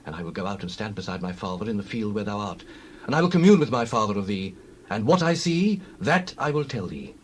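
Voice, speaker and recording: A man's voice: on the low side, big pitch swings, a little fast, a little hiss or hum. Man acting an earnest, pleading young prince. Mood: urgent. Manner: earnest, pleading, archaic delivery. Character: loyal, earnest prince pleading for his friend. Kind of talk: dramatized Bible reading.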